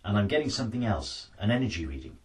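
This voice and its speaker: Keen, animated science officer voiced by a man. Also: lowish voice, fast talker, distant mic, voice pushed up. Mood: alert. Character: keen, dutiful male science officer reporting to his superior.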